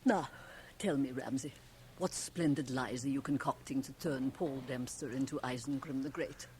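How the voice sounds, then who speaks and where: A woman's voice: a bass voice, moderate pace, a little mellow. An actress playing a shrewd, domineering, teasing middle-aged woman confidante, blunt and theatrical, in a radio drama. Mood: amused, probing. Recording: faintly noisy 1980 radio cassette transfer.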